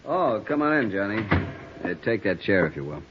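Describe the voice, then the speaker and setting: A calm, probing police sergeant who questions a suspect with dry patience. His voice is low. The sound is muffled, like an old radio broadcast. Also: moderate pace, heavy background noise. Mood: steady. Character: steady middle-aged male police sergeant interrogator. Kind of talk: 1950s radio drama acting.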